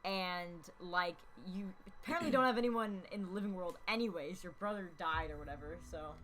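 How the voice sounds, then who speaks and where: A woman's voice: fairly low pitch, brisk, crowd noise. A woman co-hosting a let's play with casual, wry, slangy joking commentary. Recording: clear background noise.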